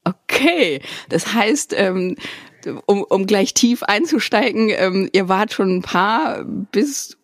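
A woman hosting a podcast interview, friendly and casual, often pausing on fillers. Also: medium pitch, lively intonation, no echo. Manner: friendly, hesitant with frequent fillers. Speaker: podcast host.

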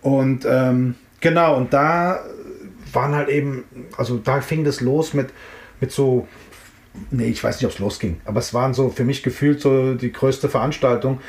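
A man's voice: medium pitch, steady tone, normal speed, darkish tone. A middle-aged man from the Frankfurt area, reminiscing in a casual interview and rambling with lots of fillers and restarts. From Frankfurt area, Germany. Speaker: interviewee, hip-hop artist. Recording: roomy echo.

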